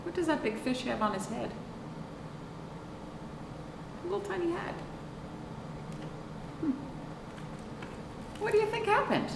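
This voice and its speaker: A middle-aged woman hosting a children's storytime, reading aloud with chatty asides, expressive drawn-out emphasis and questions to young listeners. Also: very high voice. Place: small indoor room, seated on a couch. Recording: roomy, distant mic with clear background noise.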